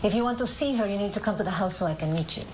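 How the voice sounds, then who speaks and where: A woman's voice: mid-range voice, rapid-fire, noisy background, lightly acted. An actress playing a strict, protective middle-aged host mother, firm and dry. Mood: stern. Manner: firm, stern, dryly teasing.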